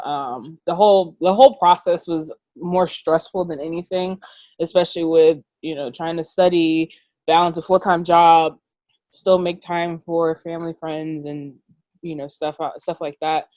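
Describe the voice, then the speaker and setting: Woman from atlanta in her 20s, low voice, says um a lot, dead dry audio. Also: darkish tone, no echo. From Atlanta, Georgia. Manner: hesitant, many fillers. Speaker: panelist. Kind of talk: casual chat.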